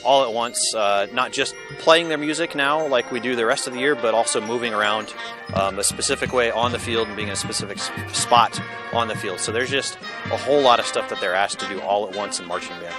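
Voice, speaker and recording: A man, a high school band teacher, talking casually with many fillers in a news interview. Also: brisk. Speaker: band director. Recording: band music playing behind.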